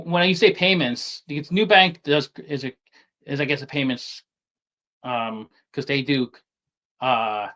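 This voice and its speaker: A man from New York City with a softened New York accent, talking casually as an interview guest, halting and often repeating words as he restarts. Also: on the high side. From Staten Island, New York City. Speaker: guest investor.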